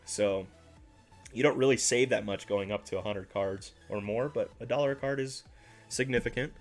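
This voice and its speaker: A man's voice: medium pitch, moderate pace. Casting note: male livestream host, a bit congested and nasal, rambling, faint music underneath. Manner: chatty, rambling, with self-corrections. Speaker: card-collecting livestream host. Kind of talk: casual livestream chat with viewers.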